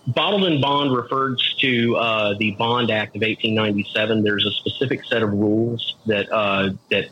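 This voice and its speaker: A man's voice: on the low side, fairly even intonation, moderate pace, bright, forceful tone, highs cut off, slight background noise. A man joining a podcast as a guest, explaining whiskey history with many hesitations. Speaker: guest, club president. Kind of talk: casual chat.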